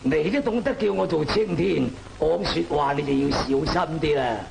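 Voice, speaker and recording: An actor playing a stern imperial magistrate in an old opera film, declaiming half in chant with drawn-out syllables. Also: male voice, fairly high pitch, lively intonation, gravelly, background noise. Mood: questioning. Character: stern, deliberating imperial magistrate presiding in court. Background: music.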